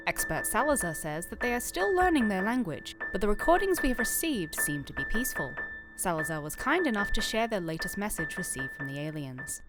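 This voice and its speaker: A woman's voice: mid-range voice, sing-song, brisk, loud music behind the voice, natural voice. A woman playing a friendly radio co-host and Earth enthusiast in a science fiction audio drama. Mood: newsreader poise.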